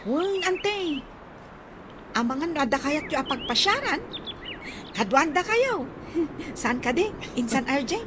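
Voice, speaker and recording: A woman's voice: high-pitched, very animated, unhurried, pauses often, background noise. A woman playing an eager, dutiful young student daughter in a radio comedy drama, with an Ilocano accent. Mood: cheerful.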